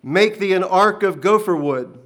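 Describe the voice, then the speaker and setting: A man's voice: fairly high pitch, moderate pace, pitched above the performer's own voice, close to an everyday voice. A man voicing a stern, commanding divine voice that proclaims in solemn archaic speech. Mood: booming. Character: booming ancient divine voice, male, commanding and stern. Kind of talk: dramatic storytelling reading.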